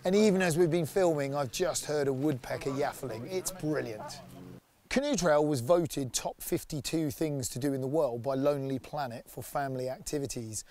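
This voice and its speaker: A man's voice: fairly high pitch, moderate pace, darkish tone. A man in his forties, an outdoor activity company director, talking enthusiastically in a promotional interview outdoors in a sunny woodland, on a lapel mic. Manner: enthusiastic, upbeat.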